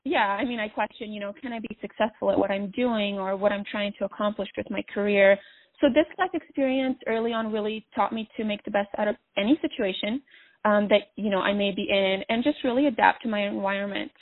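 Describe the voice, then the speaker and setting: A woman's voice: medium pitch, a little fast, no echo. Earnest woman from Bosnia calling in, thin phone-line sound. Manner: earnest, reflective, with frequent fillers. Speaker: podcast guest. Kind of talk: phone interview. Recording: dry tinny phone line.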